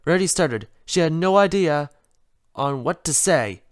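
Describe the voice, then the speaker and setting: Animated storybook narrator. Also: mid-range voice, measured pace. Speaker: story reader. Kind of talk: expressive scripted storybook narration.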